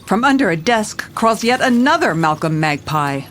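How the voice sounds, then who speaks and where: A woman's voice: mid-range voice, big pitch swings, moderate pace, fairly bright tone, faint music behind. A woman playing a level-headed police commissioner who narrates her case in a scripted audio drama. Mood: narrating, suspenseful.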